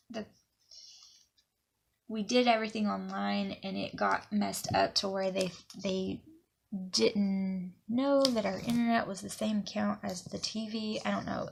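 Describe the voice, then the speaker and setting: Sniffly woman with a gravelly edge. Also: medium pitch, a little hiss or hum. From Alabama, USA. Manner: chatty, rambling, drops to soft near-whispers while working. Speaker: planner vlogger. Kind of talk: casual crafting talk to camera.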